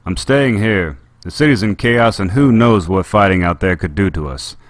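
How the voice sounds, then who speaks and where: A man voicing a weary, remorseful male city administrator who speaks quietly and earnestly. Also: deep voice, moderate pace, dry recording, natural voice.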